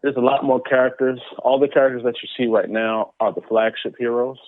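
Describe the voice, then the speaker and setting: A man's voice: mid-range voice, steady tone, brisk. A man speaking casually as a guest in an interview, in a conversational way. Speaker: interview guest, comic book creator. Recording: dry, tinny phone-like line.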